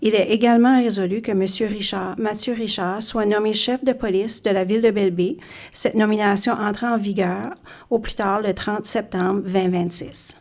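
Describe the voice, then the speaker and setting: A town clerk reading a council resolution aloud in a formal, steady way. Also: female voice, mid-range voice, moderate pace. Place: council chamber.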